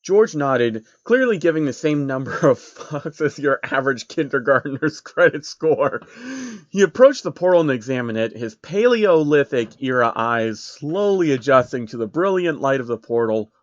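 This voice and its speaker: A man's voice: mid-range voice, very animated, moderate pace, no room sound, muffled recording. A man reading a story aloud in an informal, wry way, with amused casual asides. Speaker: narrator reading fan fiction aloud. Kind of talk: casual commentary and amateur storytelling.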